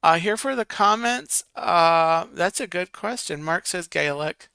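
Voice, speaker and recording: Man, streamer host, gravelly, crisp voice, dry close sound, casual chat, reads comments aloud. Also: high-pitched, lively intonation, measured pace, pushed and bright, no room sound. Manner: chatty, counts votes aloud, muttering to himself.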